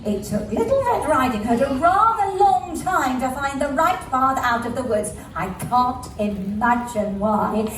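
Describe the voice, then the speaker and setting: A woman's voice: sits high, lively intonation, measured pace, hardly any pauses, far from the mic, noisy background. A woman playing a playful, bumbling storyteller in a children's puppet show, exaggerated and addressing a young audience in an echoey theatre. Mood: flustered. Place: indoor theatre, recorded from the audience area. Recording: echoey theatre from afar, music behind.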